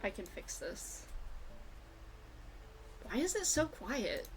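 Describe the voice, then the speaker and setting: A woman streaming a video game with casual, excitable, gushing and sweary live commentary. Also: fairly high pitch, slow, slight background noise. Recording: music behind the voice. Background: video game music.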